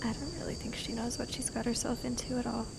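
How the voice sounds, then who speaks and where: A woman's voice: a little high, little pitch movement, fast talker, gravelly, very noisy. A woman improvising casual in-character dialogue as a player in a tabletop roleplay podcast.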